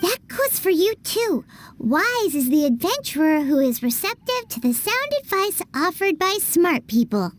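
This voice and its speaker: A tiny game fairy with an extremely high, squeaky, childlike voice. She is chatty and blunt, swinging around in pitch as she takes her unhurried time. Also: sing-song, a little hiss or hum, heavily acted. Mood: smug. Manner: blunt, refers to self in third person. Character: tiny chatty excitable fairy-like girl companion. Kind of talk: anime video game voice acting.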